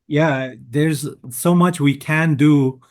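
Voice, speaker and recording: A man from Pakistan hosting a podcast, asking questions quickly and eagerly with some restarts. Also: fairly high pitch, steady tone, a little mellow. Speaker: podcast host. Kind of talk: interview.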